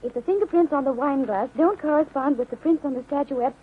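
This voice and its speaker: Woman playing high-voiced, well-bred young radio lady. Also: brisk, close to an everyday voice. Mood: polite, composed. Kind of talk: 1930s radio mystery acting. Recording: thin, muffled 1930s radio recording.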